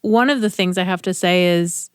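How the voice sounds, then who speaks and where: Female radio panelist, reflective and conversational, smooth tone, close dry recording. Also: fairly low pitch, moderate pace, no echo. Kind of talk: casual reflective discussion. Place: radio studio.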